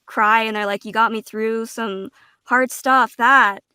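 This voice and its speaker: A woman with a smooth, clean voice speaks slowly and haltingly in a dry, close recording. She sounds earnest and informal, thinking her way through a reflective answer. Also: on the high side, fairly even intonation, sharp tone, no room sound. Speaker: guest voice actor and streamer. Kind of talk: casual, reflective interview answer.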